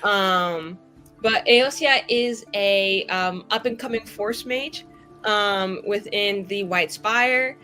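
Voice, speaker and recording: A woman with a bright, sharp-edged voice chats as a tabletop player. She is casual and joking, peppering her talk with slang and fillers. Soft music plays underneath. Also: mid-range voice, takes it slowly. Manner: chatty, slangy, filler-heavy. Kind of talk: casual, joking character introduction.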